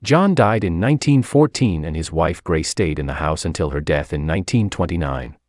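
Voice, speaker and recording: Very deep, lively male history narrator. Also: normal speed, no echo, clean studio sound. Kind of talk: scripted local history narration.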